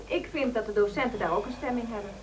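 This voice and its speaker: Woman acting outspoken female teacher, high lively voice, assertive, argumentative, radio drama, off-mic. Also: quick pace, far from the mic, crowd noise, natural voice, poor audio. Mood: firm, defiant. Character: outspoken, insistent reformist female music teacher.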